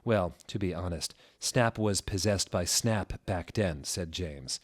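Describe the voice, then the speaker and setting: Slow, mock-serious man reading aloud. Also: low voice. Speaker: narrator reading fan fiction aloud. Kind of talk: dramatic comedic reading.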